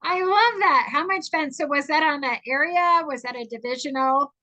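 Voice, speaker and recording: A woman hosting a talk show in a high, bright voice. She is effusive and enthusiastic, quick to praise her guests and move to the next question. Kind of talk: casual show chat.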